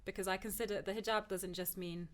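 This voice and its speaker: A woman's voice: mid-range voice, fairly even intonation, quick pace, a bit bright. Reflective young woman from Turkey. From Turkey, moved to England at ten. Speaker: podcast guest, PhD student. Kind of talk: conversational personal discussion.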